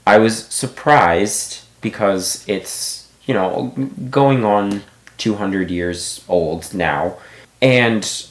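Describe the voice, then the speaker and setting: A man's voice: lowish voice, very slow, echoey room. A man giving a casual book review, thinking aloud with many restarts and asides.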